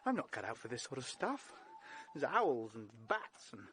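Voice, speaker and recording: An actor playing a hapless, excitable young British gentleman in a vintage radio drama, theatrical and self-pitying with genteel old-fashioned exclamations. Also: medium pitch, normal speed, slight background noise. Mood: nervous, fearful.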